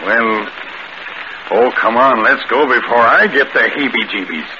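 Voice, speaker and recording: An actor playing a brisk, plain-spoken, matter-of-fact police sergeant in 1940s radio drama style. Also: male voice, medium pitch, lightly acted. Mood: uneasy reassuring. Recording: noisy, dull old radio broadcast recording.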